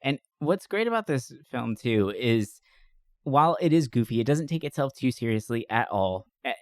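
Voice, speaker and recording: A man's voice: medium pitch, big pitch swings, normal speed, no echo. Guy podcast host, casual and slangy, really into it, dry close sound. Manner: enthusiastic, informal, slangy. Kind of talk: casual movie review chat.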